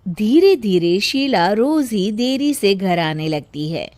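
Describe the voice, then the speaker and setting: A woman's voice: mid-range voice, sing-song, takes it slowly, darkish tone. A woman giving scripted storytelling narration for a cartoon moral story.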